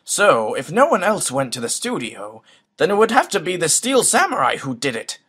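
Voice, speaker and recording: A man playing a pompous old courtroom judge in an amateur fan dub of a game, formal and ponderous, with a husky voice. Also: on the high side, sing-song, normal speed, fairly bright tone, exaggerated voice. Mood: pondering. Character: pompous, deliberating old male courtroom judge, easily swayed.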